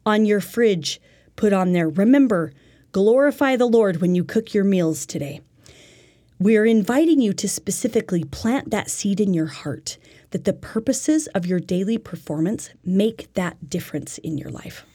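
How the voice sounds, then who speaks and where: Earnest woman teaching, slightly dark husky voice. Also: mid-range voice, lively intonation, normal speed. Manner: earnest, instructive. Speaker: lesson presenter. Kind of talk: religious self-help lesson.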